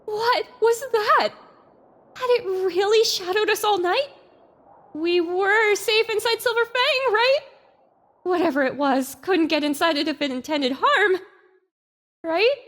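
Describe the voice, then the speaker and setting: A woman's voice: very high-pitched, measured pace, long pauses, a bit bright. A woman playing an anxious young female pony in an audio drama.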